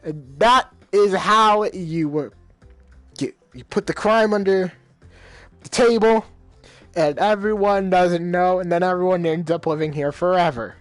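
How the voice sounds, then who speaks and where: A man's voice: sits high, a little slow, dry recording, faint music behind. A man playing a visual novel, reading the dialogue aloud in his own voice and rambling in casual slang between lines. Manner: casual slang, many fillers. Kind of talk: let's play reading and commentary.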